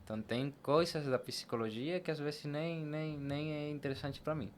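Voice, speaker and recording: A man's voice: on the high side, fairly even intonation, moderate pace. A man, a Spanish speaker, talking casually and explaining things with examples as an interview guest. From Venezuela. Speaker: podcast guest, barber. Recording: noticeable background noise and ambience.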